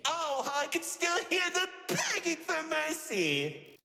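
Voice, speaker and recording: A man's voice: extremely high pitch, wildly swooping pitch, slow, gravelly, heavily acted. A man playing a gleeful, theatrical villain in a video game, mockingly narrating with relish for chaos and carnage. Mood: dramatic, mocking. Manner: theatrical, mocking, savouring grim details. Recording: long echoing hall reverb with music.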